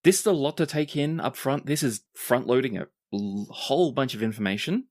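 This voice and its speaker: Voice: mid-range voice, animated, a little fast, darkish tone, no room sound, clean studio sound. A game master explaining tabletop game rules and narrating scenes to players.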